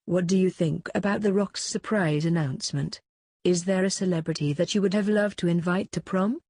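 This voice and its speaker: A woman's voice: on the low side, moderate pace, a little mellow, no echo, clean studio sound. A woman reading a scripted feel-good human-interest story as a narrator.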